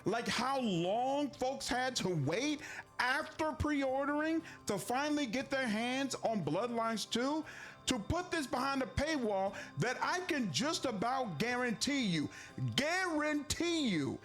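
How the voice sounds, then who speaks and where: A man with a high, lively voice, building his commentary into emphatic, indignant complaints and repeating phrases for stress. Faint music plays under his speech. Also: takes it slowly. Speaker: gaming commentator in a played clip. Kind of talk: video commentary.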